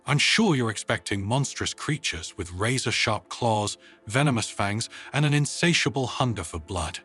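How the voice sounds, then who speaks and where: A tough, sarcastic woman starship commander from a sci-fi audio story, read by a man. The delivery is dry and wry, with a husky edge and lively intonation over faint music. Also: mid-range voice, normal speed. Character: sarcastic, tough but soft-hearted human female starship commander.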